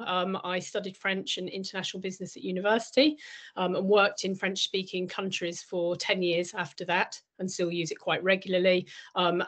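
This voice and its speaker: Panel guest: native English woman, measured and thoughtful, lots of ums. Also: fairly low pitch, fairly bright tone. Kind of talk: casual online panel talk.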